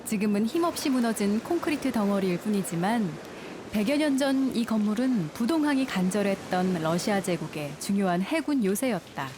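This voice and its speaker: A woman reading scripted travel documentary narration in a calm, measured way, recorded as a studio voice-over. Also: mid-range voice, background noise. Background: music.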